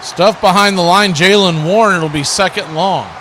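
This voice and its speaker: A man does live football play-by-play. His voice sits high and has a bright, forceful edge. Faint noise runs behind him. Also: normal speed. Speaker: play-by-play sports commentator.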